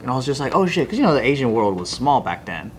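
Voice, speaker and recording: A man's voice: medium pitch, big pitch swings, fast talker, slight background noise. A man in his thirties telling a story in casual group chat on a podcast, laid-back, with many fillers. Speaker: podcast co-host.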